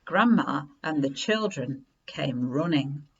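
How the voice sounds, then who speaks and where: A woman reading a children's story aloud in an expressive, unhurried way with dramatic emphasis. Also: deep voice, husky, muffled recording.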